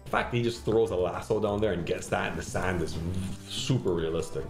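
A man's voice: deep voice, fairly quick. A young man co-hosting a casual slot bonus opening, teasing his friend, swearing and laughing often. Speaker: co-host. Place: indoor streaming studio. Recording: roomy studio with casino game music.

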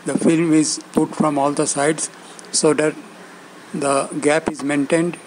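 Slow, halting man giving step-by-step instructions. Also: a little high, flat delivery, a little mellow, slight background noise, poor audio. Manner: halting, short phrases with pauses. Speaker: instructor demonstrating loudspeaker assembly.

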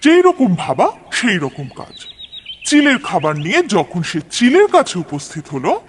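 A man narrating a scripted cartoon fairy tale as a storyteller, in a dramatic, expressive manner. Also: high voice, unhurried, slight background noise.

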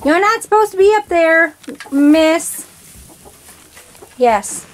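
A woman's voice: extremely high pitch, expressive, slow pace. A woman chatting casually from behind the camera on an outdoor vlog, often talking to animals. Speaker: camera operator and co-host. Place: outdoors on a farm. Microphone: close behind handheld camera. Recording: noisy outdoor camcorder audio.

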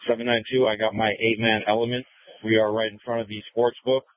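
A man's voice: fairly low pitch, flat delivery, fast talker, no room sound. An American male police officer giving a plain-spoken, informal report over police radio. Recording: narrow, tinny police scanner radio.

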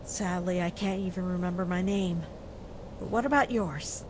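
A woman's voice: lowish voice, moderate pace, background noise, close to an everyday voice. A woman voicing a timid, lost young girl in a dramatic comic dub, hesitant and stammering. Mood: timid, hopeful. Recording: voice over music.

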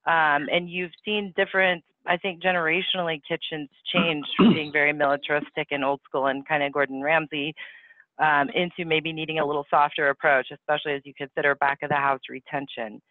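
A man's voice: a little high, level tone, a little fast, dry recording. A man hosting a panel interview, asking questions in a chatty way with frequent restarts. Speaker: interview host. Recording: dry, tinny phone-like audio.

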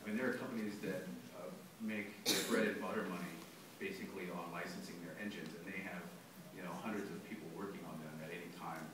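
A man's voice: low voice, fairly even intonation, fast talker, crowd noise. A man on a panel explaining with confidence and some fillers, recorded from the audience of a large lecture hall. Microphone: phone recording from the audience. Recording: echoey hall from far off.

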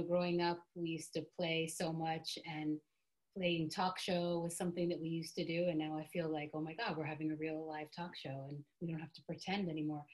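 A woman's voice: on the low side, low-quality recording. A middle-aged woman author on a video call, thanking her hosts in a chatty, grateful way. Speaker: author guest. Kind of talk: conversational interview.

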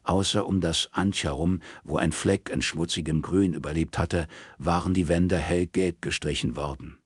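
A man's voice: deep voice, fairly even intonation, normal speed, slightly rough, no room sound, clean studio sound. A man narrating a crime novel as an audiobook, reading from a script.

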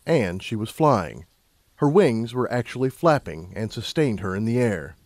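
A man narrating a scripted story as an audiobook narrator, in a measured, dramatic storytelling manner. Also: on the low side, very animated, no room sound.

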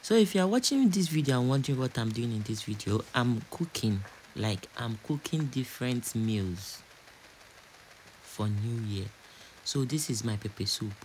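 A man's voice: medium pitch, lively intonation, moderate pace, a little mellow, slight background noise, low-quality recording. A man from Nigeria with a Nigerian accent, chatting casually and repeating phrases as a home cook narrating a cooking vlog.

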